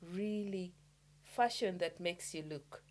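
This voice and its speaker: This is a woman from Uganda sharing her thoughts on a podcast. Her voice sits slightly low, and she speaks reflectively, halting often with fillers as she gathers her words. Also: darkish tone, a little hiss or hum. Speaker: podcast co-host. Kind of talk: casual chat.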